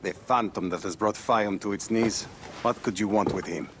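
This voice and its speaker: Man playing low-voiced wary rebel fighter. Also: normal speed, noisy background, natural voice. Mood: grave, conspiratorial. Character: wary, weary rebel fighter on the run, husband and father. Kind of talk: dramatic game cutscene acting.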